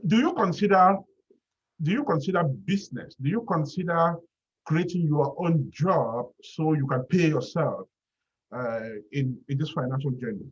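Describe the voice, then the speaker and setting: A man moderating a webinar, asking questions in a halting, deliberate way and repeating words, over lo-fi audio. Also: medium pitch, slightly rough.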